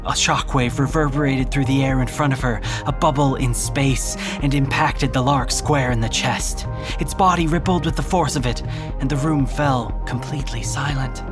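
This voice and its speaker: Mid-pitched audio drama narrator over music. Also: normal speed.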